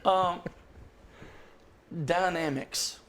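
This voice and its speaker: A man's voice: high voice, extremely slow, a bit bright. A man who hosts a jam session and sings, talking casually and emphatically on a podcast. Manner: emphatic storyteller, quotes others in a raised voice. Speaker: jam session host and singer.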